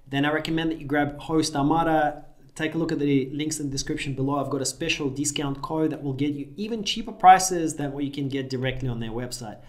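A man in his thirties from Russia, a Russian native speaker, giving an upbeat screen-share tutorial in a small room. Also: on the high side. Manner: upbeat, direct instructional talk. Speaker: affiliate marketing tutor.

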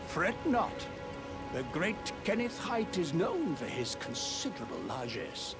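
A pompous self-styled noble lord. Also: male voice, on the high side, huge pitch swings, unhurried, exaggerated voice. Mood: grandiose. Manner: pompous, theatrical, self-important. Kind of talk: video game voice acting. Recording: game cutscene with loud music.

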